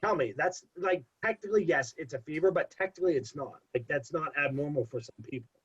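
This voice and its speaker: A young man in casual podcast talk, telling a long story with animated repeats for emphasis. His voice sounds congested. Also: fairly high pitch, fast talker. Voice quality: husky, congested. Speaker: podcast guest. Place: home. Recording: dull lo-fi podcast audio.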